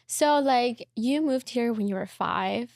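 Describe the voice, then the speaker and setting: A woman hosting a podcast, reading a scripted introduction and then chatting softly and casually with her guest. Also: fairly high pitch, slow pace, creaky. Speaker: podcast host. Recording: music bed under the voice.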